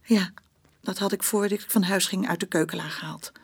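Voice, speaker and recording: An actress playing a bitter, stubborn working-class mother giving a confession in a Dutch radio play, speaking colloquially and haltingly. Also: mid-range voice, steady tone, husky. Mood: subdued recounting.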